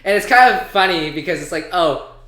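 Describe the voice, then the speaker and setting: A man co-hosting a podcast in casual banter, explaining things haltingly and correcting himself as he goes. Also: on the high side, expressive, sharp tone. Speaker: podcast co-host. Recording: roomy, echoey sound.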